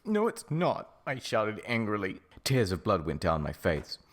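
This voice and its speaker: A man's voice: on the low side, wildly swooping pitch, a little slow, full character voice. A man reading fan fiction mock-seriously as a melodramatic, sulky, foul-mouthed teenage goth girl narrator.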